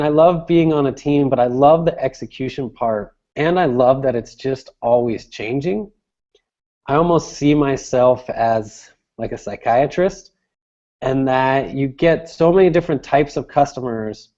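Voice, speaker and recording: A man with a mellow, soft-edged voice at a medium pitch answers as an interview guest. He explains things conversationally, restating phrases as he goes. The audio is slightly dull and lo-fi. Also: measured pace. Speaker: interview guest, sales director.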